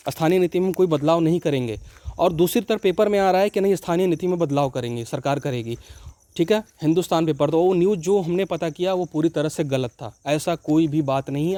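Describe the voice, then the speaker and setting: A man with a fairly high, very mellow voice. He talks informally to students like a coaching host, reassuring them and repeatedly checking that they follow. The sound is close and dry. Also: no echo. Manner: reassuring, repeats tag phrases to check understanding. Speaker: host of an exam-preparation channel. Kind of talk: informal explainer addressed to students.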